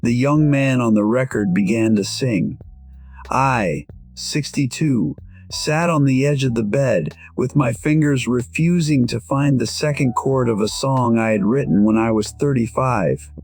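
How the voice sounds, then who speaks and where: A man voicing a weary, proud musician in his sixties, narrating an audio drama in a measured, reflective way. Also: mid-range voice, a little mellow, slightly rough, faint music behind. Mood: quiet regret. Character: weary, proud 62-year-old male musician, quietly regretful. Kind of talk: first-person scripted narration, audio drama.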